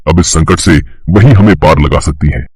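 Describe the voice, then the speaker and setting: A man's voice: a bass voice, moderate pace, darkish tone, breathy edge, no echo, clean studio sound, heavily acted. A man voicing a worried, reverent king of the gods, formal and pleading, in a mythological story. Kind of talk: Hindi mythological audio story acting.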